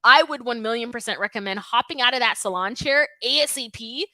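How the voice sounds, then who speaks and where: A woman speaks in a bright, fairly high voice, chatty and emphatic, sprinkling her talk with fillers. The sound is dry and close, with no room around it. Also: a little fast, dry recording. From Toronto, Canada. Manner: emphatic, animated, conversational with many fillers. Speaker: natural hair YouTube host. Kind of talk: live stream Q&A with viewers.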